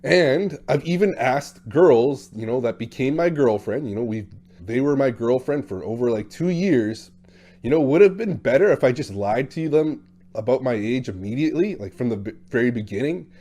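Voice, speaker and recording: A man with a dark, mellow voice talks straight to camera in a loose, blunt monologue. He is talkative and casual and drops in quick impressions of other people. His pitch sits at an everyday middle. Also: animated. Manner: talkative, blunt, acts out quotes in shifting voices. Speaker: dating coach.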